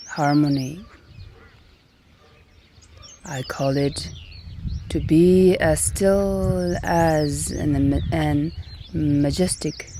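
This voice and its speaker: A woman guiding a meditation in a calm, gentle manner, leaving long pauses between short phrases. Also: low-pitched, a little hiss or hum. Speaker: meditation and yoga teacher. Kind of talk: guided meditation instruction. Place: outdoors in nature. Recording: outdoor recording with birdsong behind. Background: birdsong and natural ambience.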